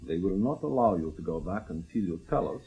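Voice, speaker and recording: A man acting in a radio drama as a wary, probing Soviet KGB major, speaking formally and deliberately in clipped phrases. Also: low-pitched, lively intonation, dark tone, low-quality recording. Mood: quietly menacing.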